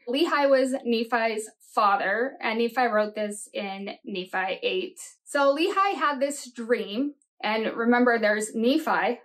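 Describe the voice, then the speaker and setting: A woman in her forties giving casual, sarcastic reaction commentary, blunt and sweary, with a mocking edge. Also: a little high. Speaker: host of a reaction commentary show. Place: small home office or craft room.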